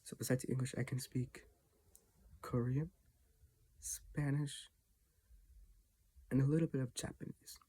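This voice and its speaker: A teenager talking casually in a personal vlog from their bedroom, halting with restarts and often dropping to a half-whisper. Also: mid-range voice, fairly even intonation, warm tone, breathy edge. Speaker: vlogger. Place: own bedroom, indoors.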